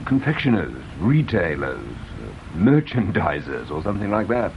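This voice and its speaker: Polite pedant, creaky low voice, musing, hesitant, radio drama. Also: male voice, sing-song, a little slow, heavily acted. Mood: politely musing. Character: courteous, musing, faintly pedantic man. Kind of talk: BBC radio drama acting. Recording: dull, slightly noisy radio recording.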